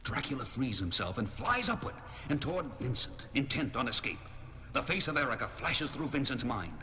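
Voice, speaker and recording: An actor as a grave, theatrical storyteller giving scripted narration on a 1970s horror record. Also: male voice, mid-range voice, sing-song, moderate pace, slight background noise. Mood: excited. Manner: portentous, theatrical. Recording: loud music under the voice.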